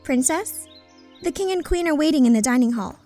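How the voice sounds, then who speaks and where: A woman's voice: sits high, animated, brisk, warm tone, faint music behind. A woman voicing a gossipy, timid palace maid in a fairy-tale game. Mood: timid, deferential. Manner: gossipy, deferential.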